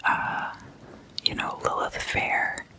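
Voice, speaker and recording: A person speaking in a breathy, unvoiced whisper, hushed and conversational, like an ASMR host chatting at a sleepover. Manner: slow, hushed, casual and conversational. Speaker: host of an ASMR roleplay series.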